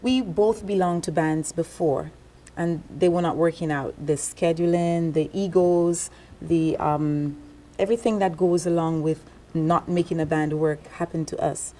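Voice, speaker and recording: Mellow-voiced singer from Dominica. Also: female voice, sits low, fairly even intonation, a little slow, hardly any pauses. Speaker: singer in a duo. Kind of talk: interview guest.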